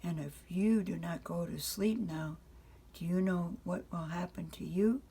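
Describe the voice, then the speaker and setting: A woman voicing a patient but firm father who explains things in a deliberate, matter-of-fact way in a bedtime storybook. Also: fairly low pitch, gravelly, warm tone, husky, heavily acted.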